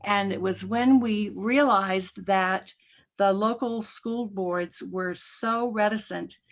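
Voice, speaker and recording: A woman panelist on a video call, explaining in a measured way. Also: medium pitch. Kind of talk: explaining on a webinar.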